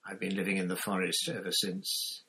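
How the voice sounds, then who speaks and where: A man's voice: deep voice, level tone, moderate pace, pushed and bright, rough recording. A man voicing a gaunt, bitter escaped prisoner who speaks with harsh intensity in a storybook reading. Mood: intense.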